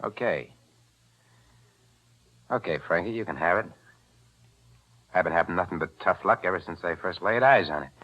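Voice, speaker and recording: A man's voice: low voice, expressive, normal speed, long pauses. A man acting a cold-blooded, wily gangster with slangy tough-guy talk in a 1940s radio crime drama. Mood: feigned surrender. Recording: muffled 1940s radio recording.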